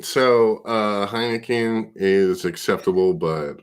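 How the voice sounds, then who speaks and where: A congested man with a fairly low voice hosts a casual podcast. He rambles loosely and swears freely, in the easy style of a late-night talk show. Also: slow, clear, resonant tone. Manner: loose, profane, rambling, hype-man announcing. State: congested, stuffed-up. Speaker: podcast host.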